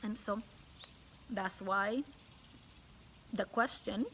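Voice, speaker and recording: A woman teacher reading a storybook aloud to children, pausing to ask questions and explain things simply. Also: medium pitch, bright, forceful tone, slight background noise.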